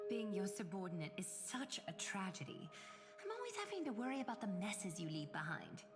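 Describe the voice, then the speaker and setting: Woman acting as disdainful game noblewoman, exasperated, arch, very lively swooping intonation, mid pitch, music behind. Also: moderate pace, lightly acted. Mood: weary, exasperated. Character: haughty, exasperated fashion-making noblewoman colleague. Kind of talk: scripted video game voice acting.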